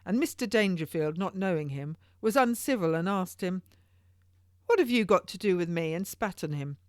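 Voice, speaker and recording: A woman's voice: lowish voice, animated, moderate pace, no echo, studio quality, pitched above the performer's own voice, close to an everyday voice. A woman voicing a small, well-fed male messenger who tells his news with relish and deference in archaic English for an audiobook. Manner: passionate, deferential archaic storytelling.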